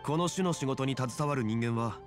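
A man playing a calm, scholarly gentleman consultant in an anime-style video game, speaking in a measured, formal, methodical way. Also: medium pitch, natural voice. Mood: calm, analytical. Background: background music.

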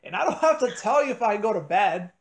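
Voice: medium pitch, sing-song, quick pace. A person improvising a phone skit as a tired pigeon who wants to sleep, complaining and insisting. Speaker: prank call participant playing a pigeon.

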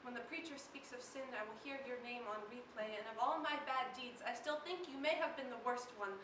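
A young woman performing slam poetry in a dramatic, deliberate way, her delivery moving between hushed and intense. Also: on the high side. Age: teenager or young adult. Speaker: slam poet. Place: live poetry slam in a room. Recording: echoey room, distant mic, faint noise.

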